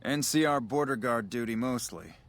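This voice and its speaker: A man's voice: medium pitch, lively intonation, normal speed, a bit bright, no echo. A man voicing a weary, matter-of-fact army major stationed at a desert border outpost in a video game. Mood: businesslike, guarded. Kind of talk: video game dialogue acting.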